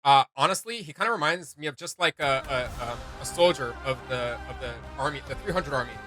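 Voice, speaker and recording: A man's voice: a little high, quick pace, bright, forceful tone, faint music behind. A man chatting casually as co-host of a reaction video, rambling and often searching for words.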